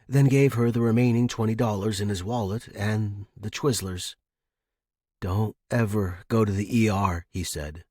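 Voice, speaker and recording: A man's voice: lowish voice, a little mellow, slightly rough, no room sound, studio quality. A man reading a scripted fiction story as its narrator.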